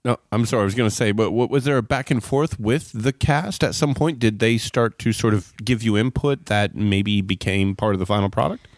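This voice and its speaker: A man with a very low voice hosts a podcast in a folksy, conversational style. The sound is dry and close, with very little room to it. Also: a little fast, dry recording. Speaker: podcast host. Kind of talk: ad read and interview chat.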